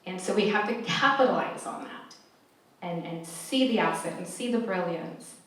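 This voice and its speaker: A woman's voice: fairly low pitch, moderate pace, fairly bright tone. A woman whose first language is Spanish, speaking earnestly on a panel with many restarts. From California, USA. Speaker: panelist, math educator. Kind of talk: panel discussion. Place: large echoey event hall. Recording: echoey hall, mic far off.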